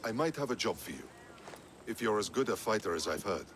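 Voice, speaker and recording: An actor playing a proud, curt, ruthlessly pragmatic Athenian general in a video game cutscene. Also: male voice, fairly low pitch, expressive, fairly quick, creaky, noisy background. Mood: haughty, determined. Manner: curt, haughty.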